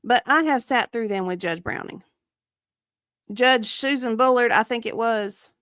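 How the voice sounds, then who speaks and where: Woman playing a guarded, higher-pitched female witness. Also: measured pace, fairly bright tone, smooth, no room sound, clean studio sound. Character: guarded, evasive female witness under deposition. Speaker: dramatic reader. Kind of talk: dramatic reading of a deposition transcript.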